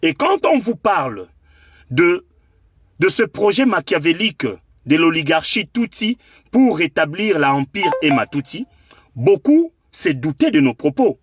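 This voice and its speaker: High-pitched, husky Congolese man, animated. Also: a little slow. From Democratic Republic of the Congo. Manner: rhetorical, emphatic, repeats phrases for effect. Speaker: political commentator. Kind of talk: impassioned political monologue.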